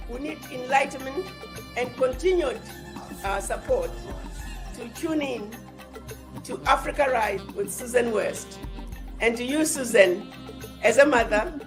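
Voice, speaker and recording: A woman giving an earnest, rallying endorsement message in a measured, deliberate delivery. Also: on the high side.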